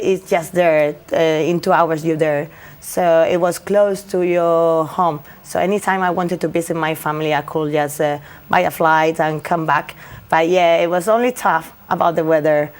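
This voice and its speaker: Young Spanish woman, lawyer guest, lowish voice, halting non-native English. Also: fairly even intonation. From Spain. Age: young adult. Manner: hesitant, many fillers and restarts. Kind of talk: interview answers.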